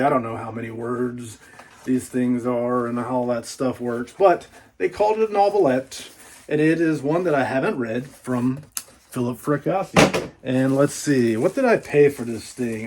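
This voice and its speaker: A man's voice: mid-range voice, animated, moderate pace, background noise. A man doing a casual, folksy book unboxing vlog, rambling playfully with repeats and little asides.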